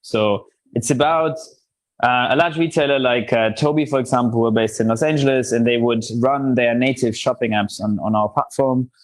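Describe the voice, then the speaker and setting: A man with a medium-pitched voice speaks as a podcast guest. He explains things casually and off the cuff, in a very dry recording. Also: moderate pace, no echo. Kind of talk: casual company introduction.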